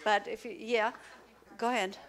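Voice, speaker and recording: A woman with a slightly high voice presents to a hall full of teachers. German is her first language, and she keeps it casual and chatty. She throws quick questions at the audience and laughs as she goes. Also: flat delivery. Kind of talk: conference workshop talk. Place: large lecture hall.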